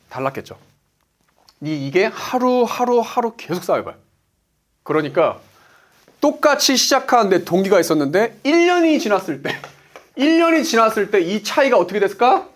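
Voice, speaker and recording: A man's voice: very high voice, sing-song, normal speed, long pauses. A man in his forties giving a motivational business talk as a coach, emphatic, asking rhetorical questions and answering them himself. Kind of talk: motivational lecture.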